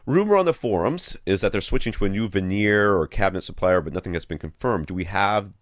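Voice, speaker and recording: A man's voice: deep voice, lively intonation, quick pace, no room sound, clean studio sound. A man hosting a casual podcast, reading out questions and thinking aloud with wry, blunt humor. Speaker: podcast host.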